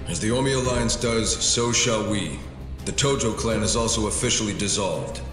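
A man playing a stern, formal yakuza clan chairman in a video game, speaking gravely and deliberately. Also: fairly low pitch, music in the background, close to an everyday voice. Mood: solemn, declaring. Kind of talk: video game cutscene acting.

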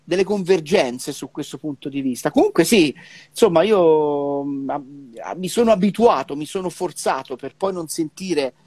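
A middle-aged man with a fairly high voice talks casually over a video call. The sound is close and dry, and his speech halts and repeats syllables as he looks for the next word. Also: animated, a little mellow, no room sound. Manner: halting, repeats syllables as he searches for words. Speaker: professional trumpeter. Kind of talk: casual conversation about music practice. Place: indoor room, remote video call. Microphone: wired earphone mic on a video call.

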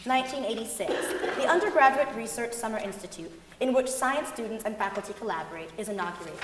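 A woman's voice: on the high side, lively intonation, fast talker, a bit bright. A young woman giving a staged dramatic reading in a large concert hall. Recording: echoey, noisy concert hall, long reverb.

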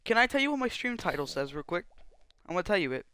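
A man's voice: high-pitched, sing-song, fast talker, pushed and bright, clean tone, no echo. A young man streaming a block-building game, chatting casually to his viewers, rambling and restarting his sentences. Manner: rambling, chatty, trips over restarts while talking to chat. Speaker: live streamer. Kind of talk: casual gameplay commentary.

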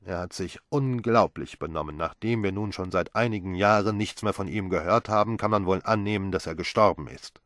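A man's voice: low-pitched, a little fast, hardly any pauses, fairly bright tone, no echo, studio quality. A man doing a stuffy, pompous husband. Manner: formal and stuffy, stammers when caught off guard. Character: stiff, proper middle-aged husband, pompous. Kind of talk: audiobook character voice.

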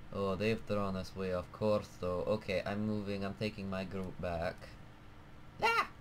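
A deep-voiced man chats through his flight sim session in a put-on Russian accent, drifting from dry asides to sudden excited yelps. A faint hum of room noise sits under his voice from a home desk mic. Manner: jokey, excitable, bursts of alarmed shouting. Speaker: gameplay commentator. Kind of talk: casual let's-play commentary.

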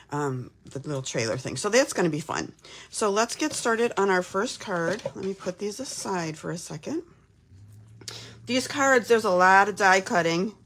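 A woman from Texas in her fifties, slightly hoarse from a cold, chatting casually while hosting a live craft demo from her home craft room. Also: on the low side, fairly bright tone, slight background noise. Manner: chatty, upbeat, lots of "um"s.

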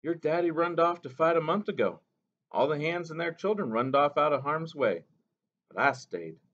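A man's voice: a little high, lively intonation, normal speed, fairly bright tone, pitched above the performer's own voice. A man voicing a devout older woman, a doting mother who speaks in a fervent, lilting way. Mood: tender. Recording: dull lo-fi recording.

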